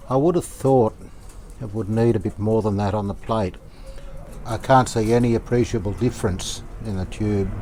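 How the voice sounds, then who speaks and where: A man talks through a hands-on repair in a low, mellow voice. He sounds casual and tentative, working things out as he goes, with some background noise around him. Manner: thinking aloud, tentative. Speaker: hobbyist radio restorer presenting a repair video.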